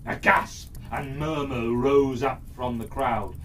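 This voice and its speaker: Fairytale narrator, dramatic and deliberate, frequent pauses, slightly distant mic with faint background noise. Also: mid-range voice, steady tone. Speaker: storyteller.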